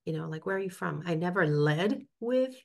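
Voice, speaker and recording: A woman from New York talks through a webinar on a video call, with a slightly low voice. She reflects on her time as a principal and reads out comments from the chat. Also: animated, moderate pace, creaky, darkish tone. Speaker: webinar co-host, former principal. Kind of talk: conversational webinar discussion.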